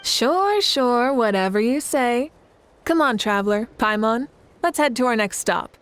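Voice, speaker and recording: A self-assured, teasing leader. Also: female voice, fairly high pitch, animated, unhurried, fairly bright tone, smooth. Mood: calm. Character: confident, playful female leader of a nation. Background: game music.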